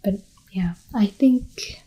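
A woman doing a soft-spoken ASMR chat in her apartment at night, rambling and drifting between a quiet voice and a whisper. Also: medium pitch, slow pace, slightly rough. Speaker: ASMR creator.

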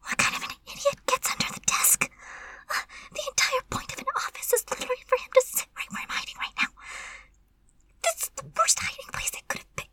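A woman's voice: sits high, lively intonation, slow pace, long pauses, fairly bright tone, slight background noise, full character voice, poor audio. A woman playing a timid, curious, easily flustered female servant in an intimate audio roleplay, murmuring breathily and stammering. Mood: panicked whispering.

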